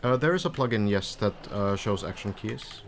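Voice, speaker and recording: Relaxed Finnish man, lowish voice. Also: normal speed, slight background noise. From Finland. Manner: relaxed, chatty, answers viewers with light laughs. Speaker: streamer. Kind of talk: casual live-stream game commentary, reading chat.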